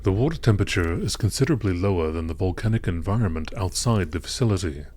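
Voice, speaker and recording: A man reading scripted scientific narration in a nature documentary style, measured, clinical and matter-of-fact. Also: deep voice, animated, a little mellow, breathy edge. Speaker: narrator reading game lore entries.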